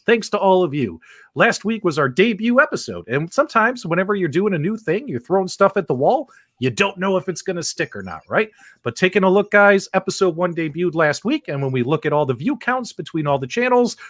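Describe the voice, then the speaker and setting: A man's voice: a little high, a bit bright, no room sound, studio quality. An enthusiastic man hosting a live video game talk show, repeating himself for emphasis. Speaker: show host.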